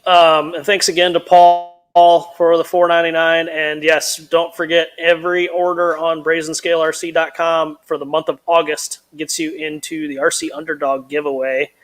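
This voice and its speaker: A man with a somewhat high voice co-hosts a live stream in easy, casual chat. He is blunt and laid-back, and he chuckles often between thoughts. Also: level tone, moderate pace, hardly any pauses, fairly bright tone.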